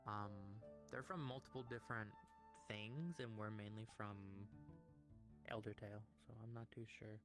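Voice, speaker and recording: A man's voice: fairly low pitch, steady tone, moderate pace. A man in casual improvised roleplay as a party member, answering in brief, informal replies. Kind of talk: casual improvised roleplay chat in virtual reality. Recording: background music behind the voice.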